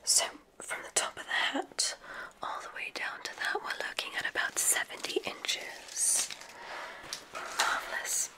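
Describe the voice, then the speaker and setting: A woman whispering softly in an ASMR roleplay as a kindly witch examiner at a magic school, speaking in a soothing, deliberate, formal way. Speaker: ASMR performer.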